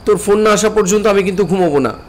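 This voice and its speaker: Brief: man playing a fretful cartoon younger brother, high voice, pleading and affectionate, faint hiss behind. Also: rapid-fire, lightly acted. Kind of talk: Bengali cartoon voice acting.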